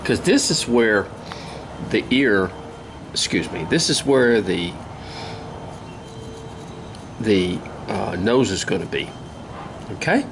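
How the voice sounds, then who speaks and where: Older guy kinda hoarse, slow folksy whittling how-to, lowish voice, steady outdoor hiss behind. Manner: easygoing, folksy, unhurried, with many pauses. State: hoarse from a cold. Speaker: woodcarving instructor. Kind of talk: casual step-by-step demonstration. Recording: steady outdoor ambient noise.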